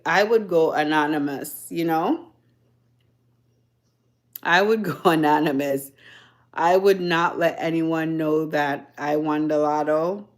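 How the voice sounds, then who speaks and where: A Jamaican woman chats live with her viewers into a phone or webcam. She talks at an unhurried, drawn-out pace in a slightly low voice. She rambles and laughs often. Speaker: livestream host. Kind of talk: casual live chat with viewers.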